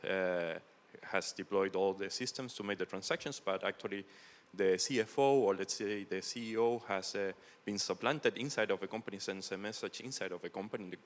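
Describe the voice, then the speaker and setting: A man from Chile with a Chilean Spanish accent, asking a question from the audience in a halting, word-searching way. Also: deep voice, fairly bright tone. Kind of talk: spontaneous question at a meetup. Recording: reverberant hall sound.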